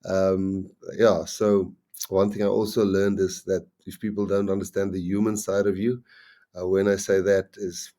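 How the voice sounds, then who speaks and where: Man, Afrikaans accent, middle-aged, low-pitched, warm dark tone, halting casual chat, rambling restarts. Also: flat delivery. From South Africa. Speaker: rugby coach. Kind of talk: casual live-stream chat.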